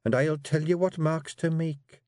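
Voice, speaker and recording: A man reading an audiobook, voicing a sharp, clever female pixie clan matriarch with a coaxing, brisk manner. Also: fairly high pitch, fairly even intonation, dry recording, studio quality, pitched above the performer's own voice, close to an everyday voice. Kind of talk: audiobook character voice.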